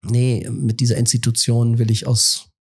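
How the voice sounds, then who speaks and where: A man with a husky, middle-pitched voice, congested from a head cold. He hosts a casual podcast from a rectory kitchen table and feels his way through his thoughts, pausing with fillers before he lands on a phrase. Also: completely flat delivery, a little mellow. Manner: thinks aloud with many pauses and fillers. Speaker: podcast host. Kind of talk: casual conversation.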